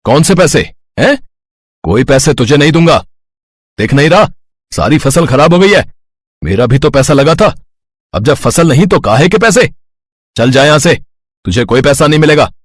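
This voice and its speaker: An actor playing an arrogant, scolding rich village landlord with a husky voice. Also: male voice, a little high, big pitch swings, moderate pace, long pauses, no room sound, clean studio sound. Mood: scornful. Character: harsh rich middle-aged village landlord.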